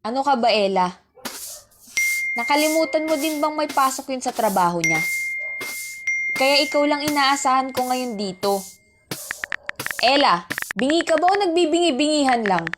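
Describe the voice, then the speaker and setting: A woman's voice: high-pitched, expressive, moderate pace, pauses often, very noisy. Woman acting as a stern, wounded Filipino mother. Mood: exasperated. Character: hurt, scolding Filipino mother of three. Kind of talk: amateur Tagalog radio drama acting. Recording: background soundtrack under the voice.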